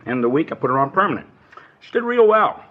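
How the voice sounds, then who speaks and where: A man's voice: fairly high pitch, sing-song, quick pace. A man playing a chatty, nervous dance hall proprietor with rambling, slangy speech in an old radio drama. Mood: gossipy. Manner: rambling, folksy, ungrammatical slang. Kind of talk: 1950s radio drama acting, naturalistic. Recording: dull old radio recording.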